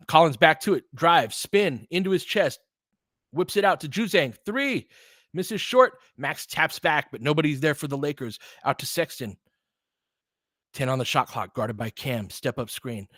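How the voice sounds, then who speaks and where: A man calling basketball play-by-play as a fan commentator, clipped and quick, breaking into excited bursts and short laughs. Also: fairly high pitch, no room sound, studio quality.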